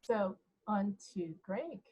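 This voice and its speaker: A woman's voice: medium pitch, animated, slow pace, mellow, husky. A woman playwright introduces her own play on a video call from home. She speaks in an informal, chatty way with a mid-range voice. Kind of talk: casual introduction. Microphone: home computer mic on a video call.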